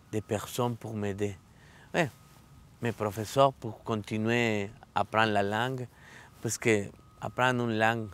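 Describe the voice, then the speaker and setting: A man in his thirties from Mexico, with a Mexican Spanish accent, speaking French as a learner; an adult literacy student giving an earnest, halting testimonial outdoors in a riverside park, with many restarts and fillers. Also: mid-range voice. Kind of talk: filmed testimonial interview.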